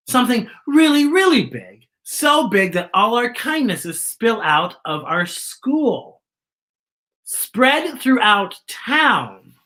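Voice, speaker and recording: A woman hosts storytime for young children with a medium-pitched voice. She moves between reading the book and chatty asides, explaining and asking questions in an expressive way, as one does with small kids. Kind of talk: picture book read-aloud with chatty asides.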